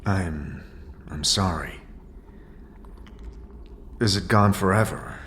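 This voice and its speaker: A man playing a hesitant, apologetic wandering adventurer in a fantasy audio drama, with halting, stammered questions. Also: low-pitched, fairly bright tone, slight background noise.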